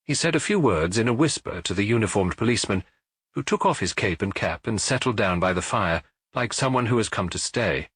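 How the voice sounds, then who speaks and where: Low, husky male audiobook narrator. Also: expressive, fairly quick, a bit bright, dry recording. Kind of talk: expressive scripted narration of a detective story.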